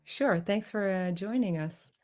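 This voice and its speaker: A woman's voice: mid-range voice, a little slow, a little mellow. A woman hosting an interview show, asking short, friendly questions. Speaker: radio show host.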